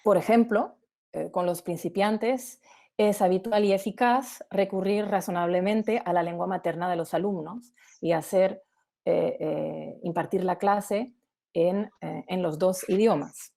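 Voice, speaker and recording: Female webinar presenter, warm dark-toned voice, didactic explanatory delivery built around examples. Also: on the low side, measured pace, creaky.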